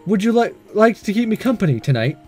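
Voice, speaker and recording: A man's voice: high voice, very animated, fast, warm tone, slightly rough, full character voice. Man reading as a coy, flirty female teacher. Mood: coy, inviting. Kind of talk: visual novel read-aloud. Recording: faint music behind.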